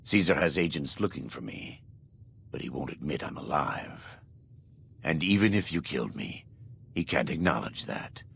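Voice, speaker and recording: An actor playing a devout, vengeful former warlord in a video game, speaking in a solemn, measured, preacherly way with a gravelly voice. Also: male voice, very deep voice, expressive, a bit bright, a little hiss or hum, exaggerated voice. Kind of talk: video game dramatic dialogue.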